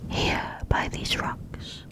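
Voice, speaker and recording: Whispered clever little mouse, as a woman reads it. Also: extremely slow. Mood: polite, sly. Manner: soft whisper. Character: small quick-thinking brown mouse, polite and clever.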